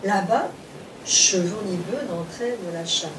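A woman reciting epic poetry in a solemn, measured declamation, phrase by phrase. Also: mid-range voice. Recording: roomy, distant microphone, clear background noise.

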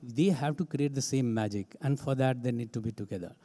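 Man, Indian English accent, dark voice, emphatic, panel speaker, handheld mic, conference stage. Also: medium pitch, brisk. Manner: emphatic, repetitive phrasing. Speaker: HR head, panelist. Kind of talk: panel discussion answer.

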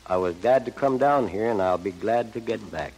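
A man's voice: lowish voice, moderate pace. An older man speaking in a folksy, plainspoken way as a guest in a scripted 1930s radio interview. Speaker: gold prospector guest. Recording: noisy, echoey 1930s radio broadcast.

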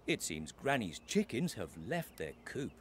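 A man's voice: unhurried, slight background noise. A man playing a friendly storybook narrator who encouragingly guides young players through a children's game. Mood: friendly guiding. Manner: clear, encouraging, highly expressive.

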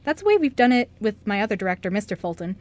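A woman's voice: medium pitch, sing-song, rapid-fire, a little mellow, close to an everyday voice. A woman playing a bubbly, impulsive young stuntwoman who gushes and rambles, in an amateur radio play. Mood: eager. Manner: gushing, rambling.

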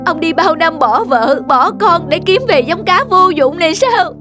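A woman playing a worried, long-suffering village wife in a folktale cartoon, plaintive and exclamatory, quick to scold. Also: very high voice, animated. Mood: wailing reproach. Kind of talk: animated folktale cartoon dialogue. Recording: background music behind the dialogue. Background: music.

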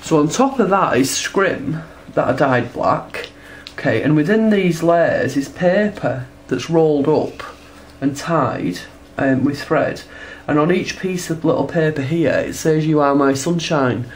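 A woman's voice: low voice, measured pace, slight background noise. A woman with a cold, chatting casually as she demonstrates her textile art and sketches, often asking tag questions. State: congested from a cold. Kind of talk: casual tutorial narration while drawing. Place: indoors at a table.

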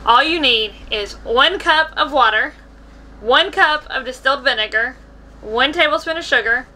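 A woman's voice: high voice, normal speed, pushed and bright. An American woman in her twenties casually talking through a cooking demonstration as a vlog host, recorded on a camera in a small indoor kitchen. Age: 20s.

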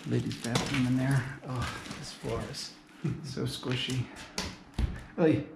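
A man's voice: medium pitch, flat delivery, slow pace, gravelly. A young man narrating casually as he walks through an abandoned building, pointing things out as he goes. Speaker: urban explorer host. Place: large abandoned building, indoors, echoey. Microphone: body-worn action camera. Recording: echoey empty rooms, noisy background.

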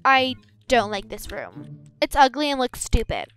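Woman playing a high-voiced bratty adopted girl. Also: big pitch swings, a little slow, fairly bright tone, clean tone, exaggerated voice. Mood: sullen. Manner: curt, sarcastic, dismissive. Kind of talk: improvised video game roleplay. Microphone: headset microphone.

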